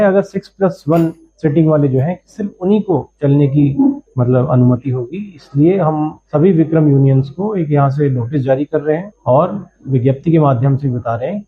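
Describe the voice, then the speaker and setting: A man giving a slow, deliberate official statement about a transport order. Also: a little high, husky. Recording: phone-like field recording.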